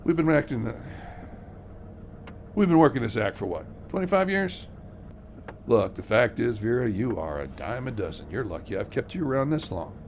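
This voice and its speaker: An actor playing a bitter, dismissive aging stage magician in a radio mystery drama, gruff and worn out from drink. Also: male voice, medium pitch, very animated, normal speed, slight background noise, lightly acted. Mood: dismissive, scornful.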